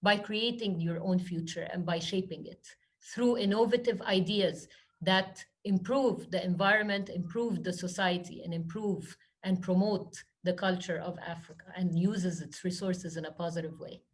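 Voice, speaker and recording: A woman's voice: lowish voice, moderate pace. Egyptian woman speaking in a webinar. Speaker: guest speaker, social entrepreneur. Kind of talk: webinar Q&A talk.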